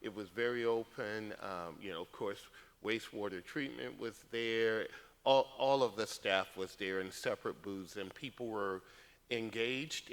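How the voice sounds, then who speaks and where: A man's voice: mid-range voice, long reverb tail. A male council member giving earnest, rambling remarks at a meeting. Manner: earnest, rambling, lively asides. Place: council chamber.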